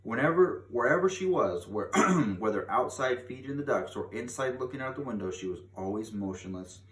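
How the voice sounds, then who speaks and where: A man voicing a very old, grave and insistent grandmother in a storybook, with emphatic, deliberate delivery. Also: medium pitch, lively intonation, fairly bright tone, close to an everyday voice. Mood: mysterious. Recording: echoey room, distant mic, faint noise.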